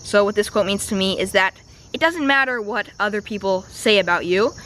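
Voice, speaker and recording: Raspy young woman vlogging casually. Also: medium pitch, a little fast, fairly bright tone, slight background noise. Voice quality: a bit raspy. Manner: chatty, loose, lots of "like" fillers. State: slightly sick, sore throat, stuffy nose. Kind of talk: casual vlog voiceover and to-camera talk. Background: music.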